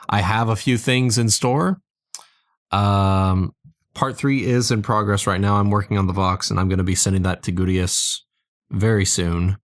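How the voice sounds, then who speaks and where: A man in his early twenties, tired with a sore throat and slight cold, chatting casually and haltingly as the narrator of a fan audio play. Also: low voice, dry recording, studio quality. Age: early 20s. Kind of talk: casual, rambling outro chat.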